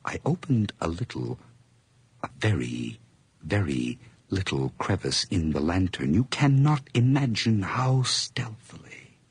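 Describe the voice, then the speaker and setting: An actor playing a feverish male murderer who narrates his crime in a 1980s radio drama, whispering low, then breaking into high, gleeful outbursts. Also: husky, heavily acted.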